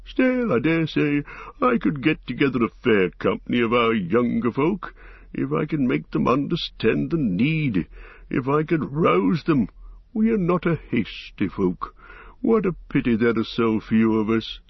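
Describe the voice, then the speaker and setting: A man voicing an ancient, slow-spoken male tree giant, unhurried and musing, with a gravelly, husky voice. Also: mid-range voice, sing-song, no echo, dull audio, full character voice.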